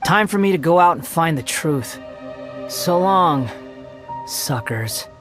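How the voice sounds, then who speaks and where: A mocking young male villain takes his time over every phrase. He is haughty and theatrical, in anime game style, with a sneer in the delivery. Music plays softly behind him. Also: on the high side, animated, slow. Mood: gloating. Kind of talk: anime video game voice acting.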